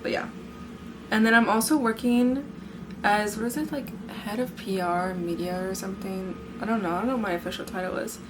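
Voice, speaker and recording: Teen girl podcaster, kinda hoarse, super chatty, says like every other word, faint background hum. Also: medium pitch, fairly quick. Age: late teens. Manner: bubbly, filler-heavy, says "like" constantly. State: hoarse from yelling. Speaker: podcast host. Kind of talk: casual chat.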